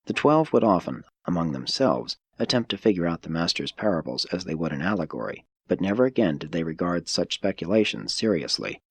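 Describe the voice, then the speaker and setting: A man reading a religious audiobook as a scripted narration, in a measured, formal and instructive manner. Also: low voice, sing-song, husky, dry recording.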